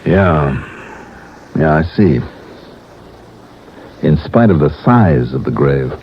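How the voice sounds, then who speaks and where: An actor playing a shrewd, unflappable American insurance investigator, speaking firmly and coolly in the clipped style of a radio detective drama. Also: male voice, a bass voice, lively intonation, slow, full character voice. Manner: firm, clipped, coolly insistent. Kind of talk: 1950s radio detective acting. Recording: muffled, noisy old radio broadcast.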